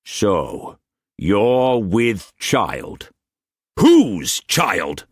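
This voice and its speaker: A man's voice: fairly low pitch, huge pitch swings, very slow, breathy edge, dry recording, studio quality, pitched above the performer's own voice, heavily acted. A man voicing a furious, domineering master who barks harsh commands at his household. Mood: stern, cold.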